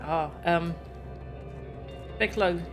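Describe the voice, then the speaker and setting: A woman gives casual live commentary as a game streamer. Her voice sits slightly low, and she muses in short remarks. Clear game music plays behind her. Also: very slow. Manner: musing aloud in short remarks. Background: video game music.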